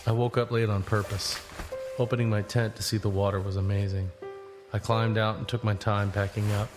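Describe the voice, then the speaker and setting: An actor playing a young long-distance traveler, narrating in the first person in an understated, introspective way for an audio drama. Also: male voice, fairly low pitch, flat delivery, a little fast, lightly acted. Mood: reflective. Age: young adult. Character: reflective young long-distance walker telling his journey. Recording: prominent music bed under the voice.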